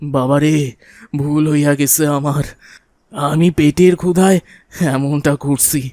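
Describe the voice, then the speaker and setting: A man's voice: fairly high pitch, fairly even intonation, unhurried, a little mellow, no echo, studio quality, natural voice. Man acting a rustic old Bangladeshi man, plaintive. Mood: pleading, tearful. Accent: colloquial Bangladeshi Bengali. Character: poor old man, shoe thief in pious dress, defensive then pleading. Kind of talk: cartoon voice acting.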